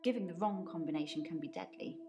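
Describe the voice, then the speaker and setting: An actress voicing a young woman nurse in her twenties in a game, earnest and idealistic, speaking calmly. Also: on the low side, fast, muffled recording, music in the background, close to an everyday voice. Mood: sincere. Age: 20s.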